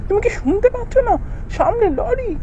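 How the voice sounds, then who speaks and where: A man's voice: very high-pitched, lively intonation, brisk, warm tone, muffled recording, slight background noise. A man voicing a guilty, anxious married writer in a horror cartoon, exclaiming and repeating himself. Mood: panicked. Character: guilty, jumpy married male writer in ghost story. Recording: music behind the voice.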